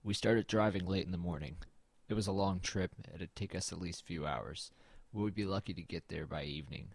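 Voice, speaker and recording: A man acts out a weary, wry young man from a bleak road-trip audio drama. The voice sits very low and is recorded dry and close. He narrates and talks in an understated, brooding manner. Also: brisk, gravelly, breathy edge, no echo, full character voice. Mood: somber narrating. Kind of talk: scripted first-person narration and low-key dialogue in an audio drama.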